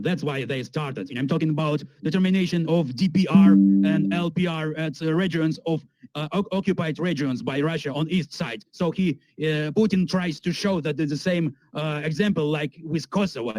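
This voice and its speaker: Eastern european guy, slightly high voice, halting english, serious political talk, dry sound. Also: darkish tone, no echo, rough recording. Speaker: prank caller posing as a statesman. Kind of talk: political conversation in non-native English.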